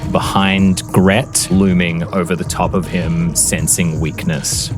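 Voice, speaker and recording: A man's voice: deep voice, level tone, slow. A man narrating a tabletop game as game master, in a theatrical, suspenseful storytelling manner. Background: background music.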